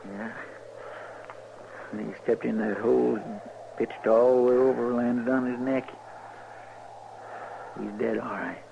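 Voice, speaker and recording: An actor playing a loyal, anxious deputy in an old radio western, with a folksy rural American drawl and halting, deferential speech. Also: male voice, medium pitch, fairly even intonation, gravelly. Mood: grim, subdued. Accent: rural American West drawl. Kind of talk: 1950s American radio drama acting. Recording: noisy, muffled vintage radio recording.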